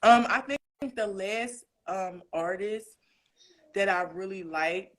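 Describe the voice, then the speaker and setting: A woman with a deep voice, a guest in a casual interview, talking in an animated, slangy, emphatic way and thinking aloud with fillers. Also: takes it slowly. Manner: animated, breaks into singing snatches.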